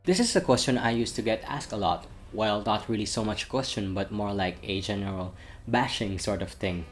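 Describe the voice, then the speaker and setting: A man's voice: fairly low pitch, moderate pace, faint music behind. A young man who grew up in Saudi Arabia, with an international school accent, giving his viewers an earnest, slightly wordy channel update. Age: young adult. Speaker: channel host. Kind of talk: vlog update talking to camera.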